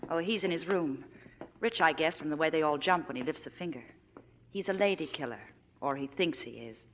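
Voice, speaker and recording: An actress playing an earnest, plain-spoken young widow in a 1940s radio drama. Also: female voice, sits low, brisk, a bit bright. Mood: matter-of-fact. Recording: reverberant, muffled, noisy 1940s radio recording.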